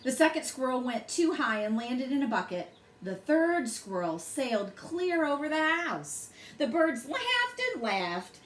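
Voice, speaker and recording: A woman reads a bedtime story aloud to children with expressive, dramatic phrasing. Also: high-pitched, measured pace, few pauses. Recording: roomy echo, faint background noise.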